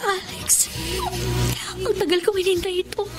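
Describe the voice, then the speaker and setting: A woman's voice: very high-pitched, fairly even intonation, measured pace. An actress playing a tender middle-aged woman in love in a Filipino radio romance drama, emotional and hesitant. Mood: tender, overwhelmed. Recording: dramatic music behind the voice.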